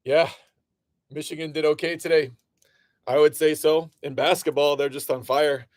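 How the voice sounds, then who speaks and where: Male livestream break host, slightly high voice, dry close sound, chatty with lots of fillers, voice a bit off. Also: steady tone, moderate pace, no room sound. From Illinois. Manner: chatty, greets viewers by name, frequent fillers. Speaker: live card break host. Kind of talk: casual livestream chat.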